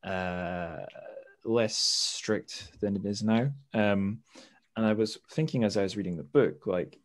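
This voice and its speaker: A man's voice: on the low side, takes it slowly. A man hosting a podcast interview, reading long, thoughtful questions with many restarts and self-corrections. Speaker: podcast host.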